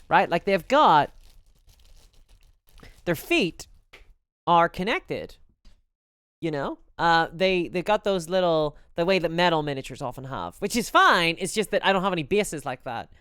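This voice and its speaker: A woman streamer with a Belfast accent talks casually and candidly to her live audience. Her voice sits low for a woman but swings animatedly up and down. It is smooth and clean, conversational throughout. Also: lively intonation, a little slow, fairly bright tone, dry recording. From Northern Ireland.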